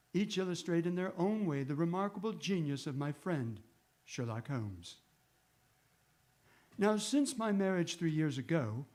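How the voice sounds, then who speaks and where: An actor playing a genial, admiring gentleman companion and chronicler in a radio drama, with a genteel, old-fashioned manner. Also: a little high, close to an everyday voice. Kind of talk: radio drama acting and narration.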